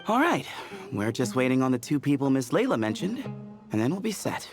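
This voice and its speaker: A man voicing a genial, brisk young expedition leader in a video game, organised and wry. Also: medium pitch, sing-song, faint music behind. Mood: businesslike. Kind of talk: video game character dialogue.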